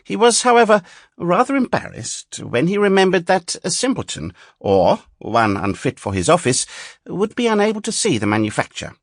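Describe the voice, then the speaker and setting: A male audiobook narrator reads a fairy tale with theatrical expression. His medium-pitched voice is husky and swoops widely, in a dry, close-sounding recording. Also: sing-song, normal speed, no room sound.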